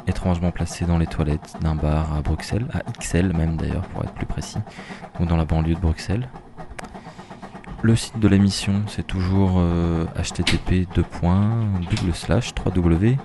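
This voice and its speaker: A man with a very low voice loosely runs through the tracks he has just played on his radio show, stopping often to search for words. Steady noise fills the space behind him. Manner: relaxed and hesitant, with fillers and restarts. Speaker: radio host. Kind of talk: casual back-announcing on community radio. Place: bar toilets in the suburbs of Brussels. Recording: noisy ambience from a bar's toilets behind the voice.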